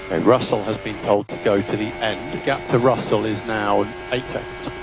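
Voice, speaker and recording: A man's voice: on the low side, steady tone, moderate pace. A man working as a race engineer, giving terse, businesslike technical instructions and updates over team radio. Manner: clipped, businesslike, technical delivery. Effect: team radio transmission. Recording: noisy team radio, engine and vehicle hum.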